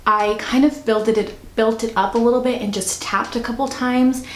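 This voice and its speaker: A woman with a cold talking casually through a makeup review, chatty and soft-spoken, sometimes close to a whisper. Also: on the high side, fairly even intonation, moderate pace. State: stuffy, fighting a cold. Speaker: beauty vlogger. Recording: echoey room, faint noise.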